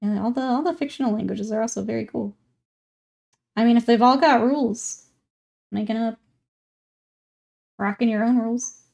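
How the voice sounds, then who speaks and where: A woman's voice: on the high side, moderate pace, creaky, pauses often, warm tone. A young woman streaming live while painting miniatures, chatting casually and reading viewer comments aloud as she works. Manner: chatty and easygoing, thinking aloud while working.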